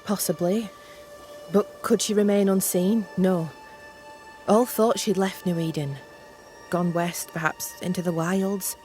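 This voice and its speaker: A woman's voice: lowish voice, fairly even intonation, unhurried, a little mellow, soft background music, close to an everyday voice. An actress playing a guarded, reluctant colonial woman in a video game, speaking in a terse, wary manner. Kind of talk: scripted video game cutscene acting.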